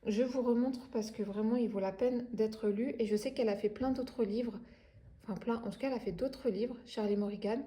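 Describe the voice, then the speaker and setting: French woman, late thirties, easygoing book-chat vlogger, warm mellow tone, slightly high voice, lots of "euh". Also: steady tone, fairly quick. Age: 30s. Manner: chatty, many hesitations and restarts. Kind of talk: casual chat about books.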